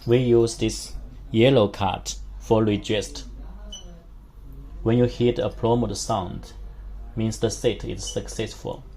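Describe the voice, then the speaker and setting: Male product demonstrator, non-native English speaker, slow and halting, lowish pitch, step-by-step instructional read. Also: fairly even intonation, dark tone. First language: not a native English speaker. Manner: halting, simple phrasing in short chunks.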